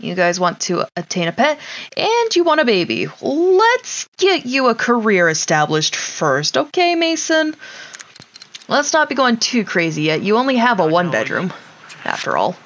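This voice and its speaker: A woman's voice: on the high side, sing-song, a little slow, fairly bright tone, dull audio. A woman giving casual, wry gameplay commentary, talking to her game characters as she plays. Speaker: gaming streamer.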